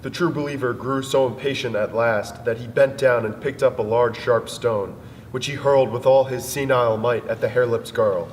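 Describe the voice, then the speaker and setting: A man's voice: medium pitch, fairly even intonation, normal speed, slight background noise. A man giving a solemn, dramatic narration of a literary story. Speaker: dramatic reader. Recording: distant, long reverb, music behind.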